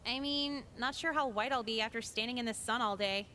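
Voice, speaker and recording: A woman playing a sarcastic, jaded teenage high school girl in a visual novel, with a deadpan, dismissive delivery. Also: a little fast, a little hiss or hum. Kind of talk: scripted visual novel voice acting.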